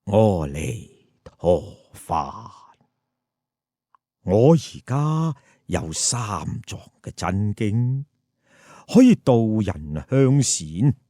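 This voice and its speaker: A man voicing a serene, grave Buddha in measured, solemn pronouncements for a story. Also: lowish voice, sing-song, mellow, no echo, clean studio sound, pitched below the performer's own voice, heavily acted. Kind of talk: storytelling character voice.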